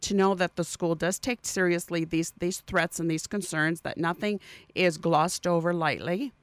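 A middle-aged woman speaking as a parent representative in a radio interview, in earnest, deliberate and rather ornate formal language. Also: on the low side, steady tone, no echo. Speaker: parent association president, interview guest. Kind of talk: formal spoken statement in an interview. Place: radio studio.

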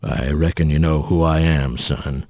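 A man voicing a huge, mocking but paternal spirit creature, with a soft rumbling voice and rustic folksy drawl. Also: steady tone, unhurried, dry recording, studio quality. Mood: folksy, needling.

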